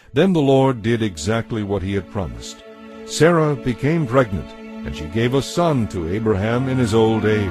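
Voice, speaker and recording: A man narrating a dramatized audio Bible as scripted, expressive, measured storytelling. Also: on the low side, no room sound. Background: faint music.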